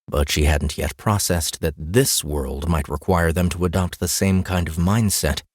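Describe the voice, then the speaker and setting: Deep-voiced man narrating a fantasy audiobook. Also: steady tone, unhurried, no room sound, clean studio sound. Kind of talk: scripted fantasy fiction narration. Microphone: close studio mic, dry booth.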